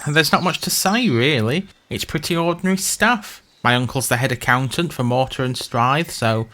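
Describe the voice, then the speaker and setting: A man's voice: medium pitch, lively intonation, normal speed, pushed and bright. A man voicing a dull, meek male accountant in a comic fantasy story, fussy and easily affronted. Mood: sheepish, meek.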